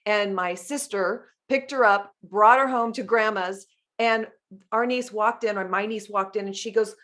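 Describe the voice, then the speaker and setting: A woman's voice: mid-range voice, measured pace, a bit bright. A woman hosting a podcast interview, asking long, effusive questions and telling family stories. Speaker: podcast host. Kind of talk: interview conversation.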